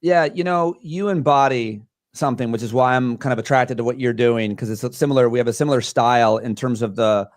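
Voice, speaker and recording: A man's voice: medium pitch, no room sound, clean studio sound. A man in his forties from Central New York, a comedian guest talking passionately and bluntly in an interview. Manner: passionate, outspoken, rapid bursts. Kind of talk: interview conversation.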